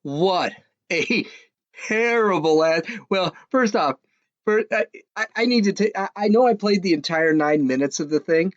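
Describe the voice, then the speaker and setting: A podcast host, a man with a fairly high voice, rants in a casual, scoffing way, tripping over restarts as he mocks what he has just played. He is recorded close and dry, with no room sound at all. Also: animated, unhurried, no echo, muffled recording. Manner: mocking, scoffing, rambling with restarts. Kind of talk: casual opinionated rant.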